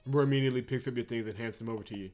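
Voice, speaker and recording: Man, medium pitch, let's play host, narration reading, excitable exclamatory commentary, faint music. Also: rapid-fire. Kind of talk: reading game narration with live reaction commentary.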